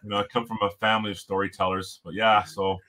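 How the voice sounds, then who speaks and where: A man from Ontario with a loud voice, telling stories as a podcast guest in an animated, emphatic way. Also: lowish voice, quick pace. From Canada, Ontario. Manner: animated storyteller, emphatic, frequent restarts. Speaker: podcast guest, former hockey player. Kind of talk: casual storytelling interview.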